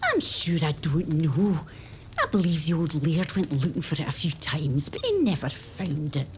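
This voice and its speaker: An older Scottish nursemaid, motherly and confiding, played by a woman. She tells her tales with a lively, rising and falling voice, dropping low and hushed. Faint noise sits under the voice. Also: normal speed.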